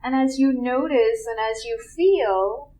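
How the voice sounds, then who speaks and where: A woman guides a relaxation meditation in a soft, unhurried voice that sits a little high. She speaks very slowly and leaves long pauses between phrases, letting each instruction settle before moving on.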